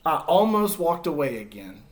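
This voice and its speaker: A man reads as an earnest male egg from a children's storybook, timid but persevering. The voice sits a little high and moves expressively up and down as he tells his own tale. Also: normal speed. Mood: nervous, determined. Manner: earnest, expressive first-person storybook narration.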